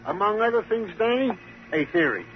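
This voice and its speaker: Through a muffled, faintly noisy old radio recording comes a male police sidekick with a fairly high voice. He is eager and pleased with himself, drawing out his comic radio-drama chatter. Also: sing-song, moderate pace. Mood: pleased, teasing. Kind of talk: 1950s radio crime drama acting.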